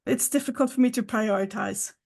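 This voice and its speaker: Gravelly-voiced woman podcast guest. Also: fairly high pitch, a little fast, a little mellow. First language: not English. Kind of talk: casual technical chat.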